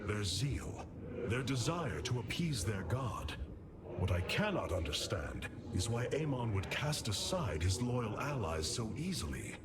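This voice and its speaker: A man's voice: lowish voice, very animated, a little slow, heavy background noise. A man playing a stern, dignified alien commander in a video game, formal and grave. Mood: troubled. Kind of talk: theatrical video game dialogue.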